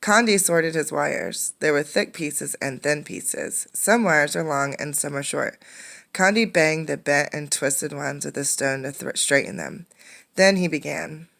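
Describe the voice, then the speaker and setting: Woman, low register with gravelly edges, for an expressive read-aloud; teacherly, puts questions to young listeners. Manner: expressive narration, pausing to pose simple questions. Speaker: teacher reading a picture book aloud.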